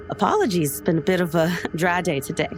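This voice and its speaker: A woman playing a polite, tactful radio advice host who counsels in a gentle, measured manner. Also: on the low side, big pitch swings, over music. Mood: polite, flustered.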